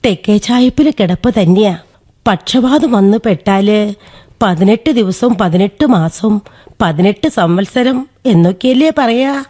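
A woman's voice: mid-range voice, measured pace, mellow, lightly acted. A woman playing a plain-spoken, chiding old village great-aunt in a radio drama, chatty and busy. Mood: matter-of-fact. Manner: chatty, proverb-quoting, mildly reproachful.

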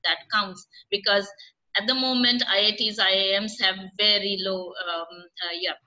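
A woman's voice: mid-range voice, fairly even intonation, normal speed, sharp tone, lo-fi sound. A middle-aged woman professor speaking on a panel over a video call from a room at home, thoughtful and hesitant, with many fillers and restarts. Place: room at home with orange curtains. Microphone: laptop webcam on video call.

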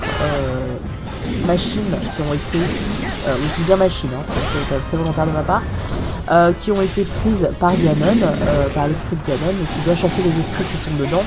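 A woman with a French accent and a cold, giving casual, rambling gameplay commentary full of hesitations. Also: lowish voice. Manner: rambling, many hesitations and fillers. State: has a cold, sniffly. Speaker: gaming channel host. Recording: voice-over with faint game music.

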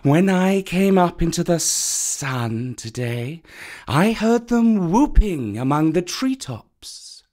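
A man's voice: on the high side, very animated, a little mellow, voice pushed up. A man playing Kaa, a hissing old python. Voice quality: hissing, slow and courteous. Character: wary, proud old male python.

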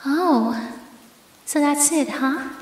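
A woman playing a possessive, obsessive yandere ex-girlfriend in a horror ASMR roleplay, cooing endearments with menace. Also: high voice. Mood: quietly menacing. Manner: slow, drawn-out, cooing endearments turning threatening. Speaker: audio roleplay performer. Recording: echoey reverberant hall effect.

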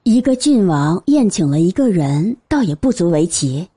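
Female narrator for serialized fiction: husky, mellow, lively intonation. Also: fairly low pitch, normal speed. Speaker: audiobook narrator. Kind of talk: scripted storytelling narration.

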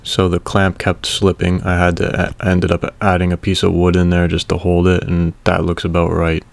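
A man casually narrating a welding repair video in a relaxed, matter-of-fact way. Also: sits low, moderate pace, creaky.

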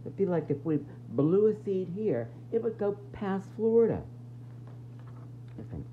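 A woman's voice: medium pitch, sing-song, unhurried, narrow, tinny audio, slight background noise. A woman teacher reading a children's nonfiction book aloud, expressively explaining it and putting questions to young listeners.

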